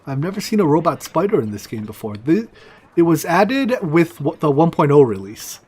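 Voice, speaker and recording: A man whose first language is Chinese, casually talking to himself and his viewers while he plays a game, with mumbled asides and excited outbursts. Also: on the high side, normal speed, slightly rough. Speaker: streamer. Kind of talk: casual gameplay commentary.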